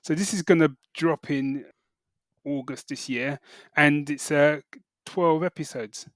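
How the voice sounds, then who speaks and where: A man's voice: fairly high pitch, steady tone, a little slow, no echo, studio quality. A British man co-hosting a podcast, explaining things in casual, informal chat with some hesitant phrasing. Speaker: podcast co-host reporting news.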